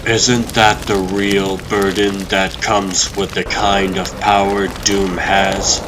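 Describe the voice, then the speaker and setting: A man voicing an intense, calculating character in a comic dub, with a measured, formal, rhetorical delivery. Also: low-pitched, flat delivery, background noise. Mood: challenging. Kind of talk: comic dub dramatic acting.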